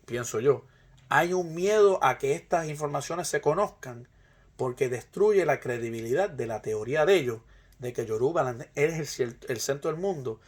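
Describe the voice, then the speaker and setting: A man from Puerto Rico with a Puerto Rican Spanish accent, giving an informal argumentative lecture in an emphatic, rhetorical way, repeating phrases for emphasis. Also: a little high. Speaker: presenter, Ifa practitioner.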